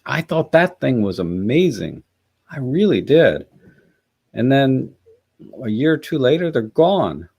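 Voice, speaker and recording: A man hosting a casual live chat, musing and halting, with a hoarse, scratchy voice. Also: mid-range voice, big pitch swings, a little mellow. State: hoarse after a night out. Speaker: livestream host. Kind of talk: casual live chat, reading out and answering comments.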